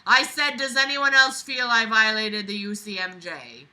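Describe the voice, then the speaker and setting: A screechy female military training sergeant from a book, acted out by a woman reader. Her voice is loud, sharp and forceful, and she bullies and demands like someone facing a formation. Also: a little high, moderate pace. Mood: angry, demanding.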